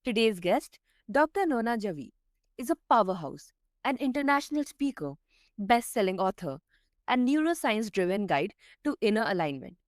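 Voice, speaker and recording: A woman hosts a podcast in an upbeat, polished manner. Her voice sits a little high and swings in pitch. The sound is dry and close. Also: very animated, moderate pace, dry recording. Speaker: podcast host. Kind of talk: scripted show introduction and interview questions.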